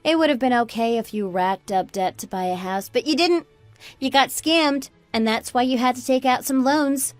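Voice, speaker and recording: Casting: fed-up young wife and mother, played by a woman, fairly high voice, argumentative, radio drama, dry sound, faint music beneath. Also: moderate pace, no echo, lightly acted. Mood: indignant, reproachful. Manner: argumentative, sarcastic, pointed. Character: indignant, fed-up young daughter-in-law and mother. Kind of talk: scripted radio drama dialogue.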